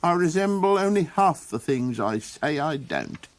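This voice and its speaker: A man voicing an odd, forgetful little old man with a high, wondering, sing-song delivery. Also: sing-song, a little slow. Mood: whimsical.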